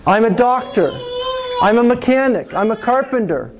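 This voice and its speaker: A man preaching a sermon to his congregation, slow and deliberate, with dramatic pauses and repetition. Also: very high-pitched, expressive, background noise. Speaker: pastor.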